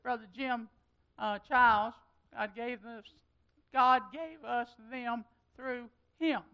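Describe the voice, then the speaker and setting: A woman's voice: fairly high pitch, fairly even intonation, slow, clear, resonant tone, highs cut off. A woman giving chatty, folksy announcements as pastor at a church meeting. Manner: chatty and folksy, with loose trailing phrases.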